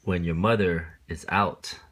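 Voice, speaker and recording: A man's voice: deep voice, fairly even intonation, unhurried, fairly bright tone, husky, voice pushed down, heavily acted. A man voicing a fussy, anxious little fish in a children's storybook, indignant, bossy and emphatic. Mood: alarmed, scolding. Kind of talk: children's storybook read aloud.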